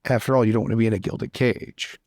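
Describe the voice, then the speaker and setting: A man playing a chatty, excitable lord in an improvised roleplay, talking in a rambling, theatrical and emphatic way. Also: on the low side, fast, gravelly, dry recording, clean studio sound. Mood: teasing, reassuring.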